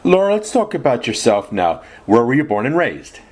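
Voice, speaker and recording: A man hosting an interview show, giving brief acknowledgments and asking steady, prepared questions. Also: mid-range voice, moderate pace, slightly rough. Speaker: podcast host.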